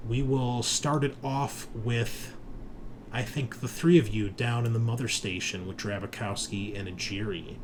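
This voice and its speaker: A man's voice: medium pitch, steady tone, measured pace. A man narrating a tabletop roleplaying game as the game master. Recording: noticeable background noise.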